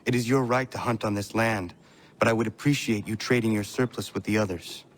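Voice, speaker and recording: An actor playing a stoic, earnest young warrior in a video game, speaking in a formal, clipped way. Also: male voice, lowish voice, steady tone, brisk, natural voice. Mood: earnest, blunt. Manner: formal, clipped, avoids contractions.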